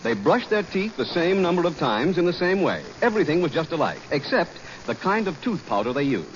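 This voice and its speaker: Persuasive 1940s radio announcer man. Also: sing-song. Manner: brisk, persuasive pitch. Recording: dull 1940s radio broadcast, faint hiss.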